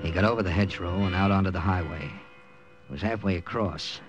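A man's voice: low voice, fast, lo-fi sound, lightly acted. A man acting a wary, distrustful American captain and secret agent in an old radio war drama, with clipped hard-boiled delivery. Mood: tense, grim narration. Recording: old radio broadcast with loud music.

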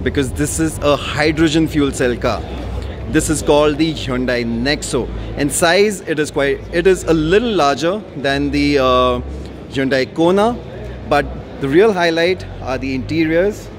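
A man presenting a car on a walkaround at an expo, explaining casually with plenty of ums and uhs. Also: on the high side. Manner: casual explaining with many fillers. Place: large indoor auto expo hall. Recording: noisy expo hall.

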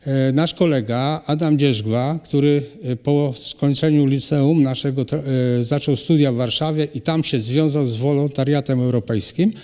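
A middle-aged man, a ceramic artist, answering interview questions in a halting way with many pauses and restarts. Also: medium pitch, mellow. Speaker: ceramic artist and cultural animator, guest. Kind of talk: hesitant conversational interview answers. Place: hall at a cultural centre. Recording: long reverb of a hall.

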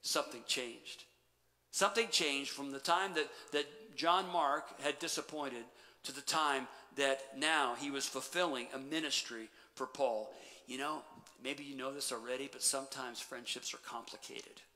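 A middle-aged man preaching a sermon as pastor, animated, then dropping to quiet emphasis. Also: medium pitch, measured pace. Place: church sanctuary stage. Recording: long church reverb.

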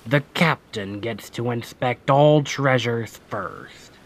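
A man playing a stern, commanding pirate captain with gruff protectiveness in an ASMR roleplay, speaking deliberately and with authority. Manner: slow, deliberate, authoritative, phrases trailing low.

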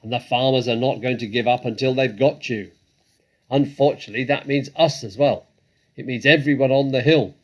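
A man voicing an excitable, blustering male badger from a storybook, with a booming, husky voice and an agitated, exclamatory manner. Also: unhurried, close to an everyday voice. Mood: furious, despairing.

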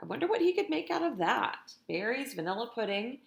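A middle-aged woman, a teacher, reading a picture book aloud to young children in an expressive, deliberate way, speaking to them directly. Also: a little high, creaky, roomy sound. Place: indoors in a room at home.

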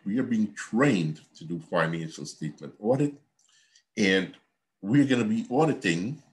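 A man, giving an earnest university lecture on a video call from a home office. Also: lowish voice. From Guyana. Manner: earnest, repetitive teacherly explaining. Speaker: accounting professor.

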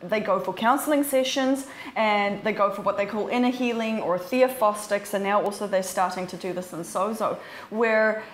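A woman's voice: medium pitch, normal speed, few pauses, a little hiss or hum. A young woman telling stories animatedly, acting out the voices of the people in them, in a seated discussion. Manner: animated storytelling with quoted voices. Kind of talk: conversational discussion. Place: small room with sofas, indoors. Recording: roomy indoor sound.

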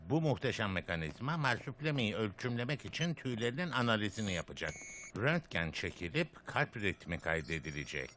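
Bright, animated cartoon scientist. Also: male voice, fairly low pitch, brisk. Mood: showy. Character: fussy, self-assured cartoon scientist running tests. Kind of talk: Turkish cartoon dubbing.

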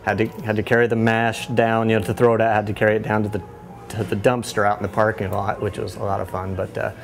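A man in his thirties with a lowish voice talks casually through a brewery tour, easygoing and loose, stopping often on fillers and restarts. He speaks on a lapel mic among steel tanks in a small brewery tucked inside a bar. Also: fast, vocal fry, soft background music. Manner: relaxed, many fillers and restarts. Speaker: head brewer.

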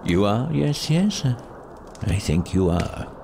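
An actor playing an elderly reformed miser, giddy, generous and exuberant, in a theatrical audio drama. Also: male voice, lowish voice, sing-song, slow, gravelly, dark tone, slight background noise, exaggerated voice. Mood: mock stern. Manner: exuberant, chuckling, mock-stern at times.

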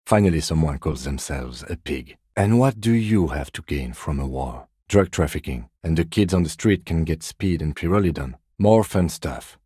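A man voicing a composed, methodical police detective in a video game, speaking in a measured, formal, understated way. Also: a bass voice, expressive, mellow, breathy edge, dry recording, studio quality, full character voice. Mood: dry, businesslike. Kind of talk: video game character dialogue.

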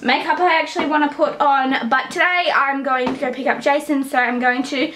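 A young Australian woman with a high voice chats to a camera held at arm's length. She talks casually and directly to her viewers as she moves around her home. A faint hiss of noise sits behind her. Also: fairly even intonation, fairly quick, fairly bright tone. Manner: chatty, talking straight to the camera. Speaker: vlogger. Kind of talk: casual vlog chat. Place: home and car, indoors. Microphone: handheld camera at arm's length.

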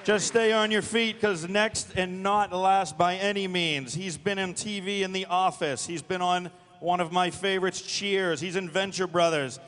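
A man hosting a live convention panel, announcing in a projected, energetic way from the stage. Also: high-pitched, moderate pace, few pauses. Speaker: panel host. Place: large convention hall stage. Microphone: handheld microphone. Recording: reverberant hall sound system.

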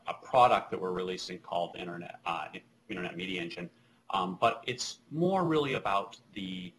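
A man's voice: low-pitched, a bit bright, a little hiss or hum, rough recording. A man in his thirties giving a conference keynote over a video call from a small office, conversational with many fillers and restarts. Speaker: keynote speaker. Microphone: video call webcam.

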